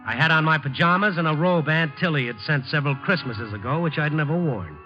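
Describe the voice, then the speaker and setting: A man's voice: a little high, lively intonation, brisk, lightly acted. Male actor, hard-boiled reporter, wry and dry, 1950s radio drama narration, dull vintage recording, prominent music. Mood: brooding narration. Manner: dry wisecracking delivery.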